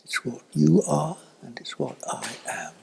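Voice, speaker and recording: Husky, creaky elderly man, slow and contemplative. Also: fairly high pitch, animated, darkish tone. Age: older, elderly. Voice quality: husky, breathy, often fading to a whisper. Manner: contemplative, halting with long pauses. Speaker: spiritual teacher. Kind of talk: slow, reflective spiritual talk, unintentional ASMR.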